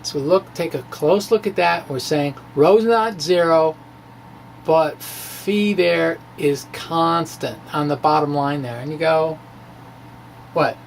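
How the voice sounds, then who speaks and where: A man giving an informal spoken science explanation in a halting, rambling, colloquial manner with wry asides. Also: fairly high pitch. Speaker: amateur physics blogger presenting his work. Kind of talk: informal spoken physics explanation, dramatic reading of his blog. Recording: clear background noise.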